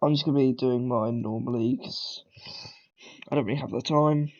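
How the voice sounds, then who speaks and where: A man's voice: medium pitch, moderate pace, a little mellow, muffled recording. A man, talking casually through a beginner coding tutorial, rambling and correcting himself as he goes. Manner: rambling, self-correcting, lots of ums and throat clearing. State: has a cold. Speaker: tutorial maker.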